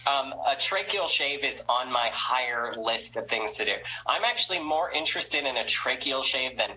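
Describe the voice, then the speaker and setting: A woman's voice: rumbling low, animated, normal speed, pushed and bright, slight background noise, poor audio. A woman interviewing a guest in a casual, supportive and teasing way, often restarting her questions.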